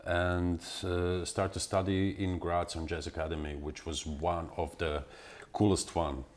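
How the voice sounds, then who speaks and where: A man in his forties from Serbia with a Serbian accent, answering interview questions in halting speech full of fillers and restarts. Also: sits low, level tone. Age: 40s. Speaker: guest musician.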